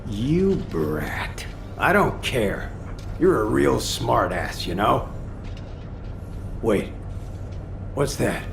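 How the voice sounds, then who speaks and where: In an echoey, noisy recording, a gruff old game character. The old man is blunt and irritable, gravelly and swinging widely in pitch. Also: big pitch swings, extremely slow, pauses often, exaggerated voice. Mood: grouchy. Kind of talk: video game voice acting. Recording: echoey, with clear background noise.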